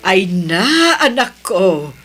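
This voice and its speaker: An anxious older mother in an Ilocano radio drama. She speaks slowly in a tense, bright voice with an Ilocano accent. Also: medium pitch, very animated, faint music behind, full character voice. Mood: relieved, disapproving. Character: worried, slow-spoken older mother of a grown son.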